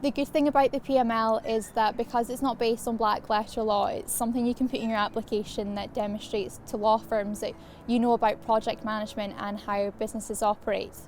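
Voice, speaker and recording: A woman's voice: fairly high pitch, little pitch movement, a little fast, darkish tone, a little hiss or hum. A young woman, a law student, giving a prepared testimonial about her studies. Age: young adult.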